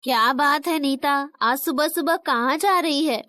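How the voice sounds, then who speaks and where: High-voiced stern elderly mother-in-law played by a woman. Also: unhurried, pushed and bright, no echo, clean studio sound. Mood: questioning. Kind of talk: animated moral story dialogue in Hindi.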